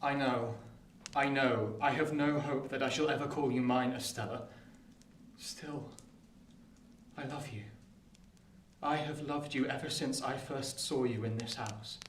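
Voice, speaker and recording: A man playing an earnest, lovelorn young gentleman in a radio play, speaking formally and with pleading passion. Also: mid-range voice, steady tone, a little slow, natural voice. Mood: tender, pained. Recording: echoey, distant, noisy room.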